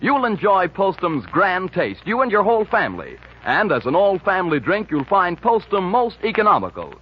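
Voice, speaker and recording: American man, 1940s commercial announcer, polished, high voice, very lively intonation, old radio sound. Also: moderate pace, husky. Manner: polished, promotional. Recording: dull, noisy 1940s radio recording.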